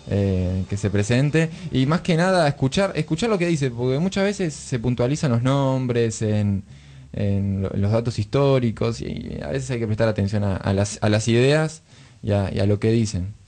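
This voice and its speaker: An Argentine man hosting a casual radio discussion, reflective and often pausing to search for words. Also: medium pitch, darkish tone, soft background music. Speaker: radio host. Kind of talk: casual chat, political commentary.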